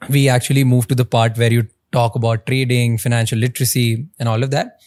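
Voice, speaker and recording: A man's voice: medium pitch, little pitch movement, fairly quick, darkish tone. A man hosting a podcast, asking curious prompting questions. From India. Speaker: podcast host. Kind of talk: podcast interview.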